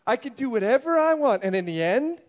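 Sketch role: a scolding fire-and-brimstone preacher, played by a man, high-pitched and wildly animated delivery. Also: a little slow. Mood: fiery. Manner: preachy, scolding, swings to shouting. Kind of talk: church comedy sketch.

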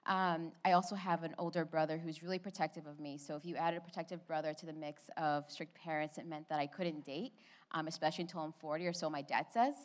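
A woman's voice: low-pitched, steady tone, fast talker. A young woman born in Egypt and raised in the United States, giving a personal testimony at church with light humor and casual fillers.

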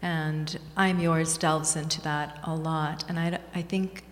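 A woman author from Bangladesh answers interview questions in a measured, earnest, reflective way. Her voice sits on the low side for a woman. A long reverb trails her words around the hall. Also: steady tone. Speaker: author and guest. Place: small community gathering room.

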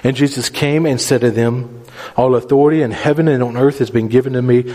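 A man's voice: medium pitch, fairly even intonation, fairly quick, mellow. A man preaching a sermon, explaining scripture verse by verse in an earnest, instructive manner with repeated phrases for emphasis. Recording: reverberant hall.

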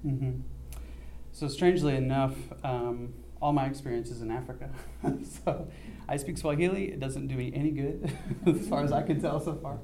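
A young man earnestly telling a church about a mission trip, halting often with ums and restarts. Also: mid-range voice, steady tone, mellow. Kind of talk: church mission report, casual.

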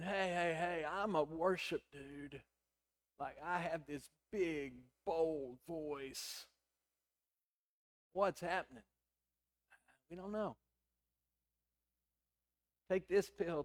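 Hoarse middle-aged pastor preaching. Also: male voice, a little high. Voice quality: hoarse, raspy voice. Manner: conversational storytelling with quoted dialogue. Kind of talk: sermon.

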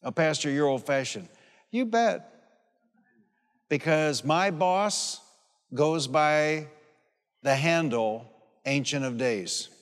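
A man's voice: fairly high pitch, lively intonation, takes it slowly, pauses often. A man of sixty-seven preaching a sermon in a folksy, emphatic way, repeating phrases and telling personal stories. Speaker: pastor preaching. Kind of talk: sermon with personal anecdotes and calls for amen. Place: large hall or church, preaching to a congregation. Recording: long hall reverb on live sermon.

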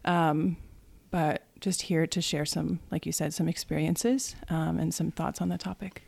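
A woman's voice: low-pitched, steady tone, a little slow, creaky, a little mellow, slightly rough, dry recording. A young adult woman speaking casually and a little tentatively as a podcast guest. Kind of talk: casual, modest conversational talk.

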